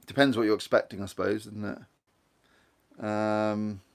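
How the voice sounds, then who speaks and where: A man's voice: lowish voice, little pitch movement, takes it slowly, gravelly. Chatty man with a Guernsey accent. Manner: rambling, chatty, reads viewer comments aloud. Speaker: livestream host. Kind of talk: casual live-stream chat.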